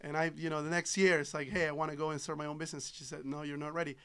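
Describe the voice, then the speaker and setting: A man's voice: fairly high pitch, flat delivery, racing, sharp tone, smooth. A man in his thirties from Ecuador, a native Spanish speaker, chatting agreeably as a podcast guest. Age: 30s. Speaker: podcast guest, solar business owner. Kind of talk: casual interview chat. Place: small padded podcast studio. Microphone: boom-arm studio microphone.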